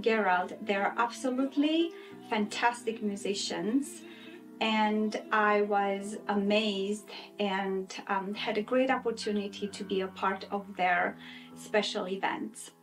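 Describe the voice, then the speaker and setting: A woman's voice: mid-range voice, poor audio. A woman in her thirties from Romania, a classical soprano and non-native speaker, answering interview questions with many fillers and restarts. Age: 30s. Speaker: guest singer. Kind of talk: interview answers and recorded intro.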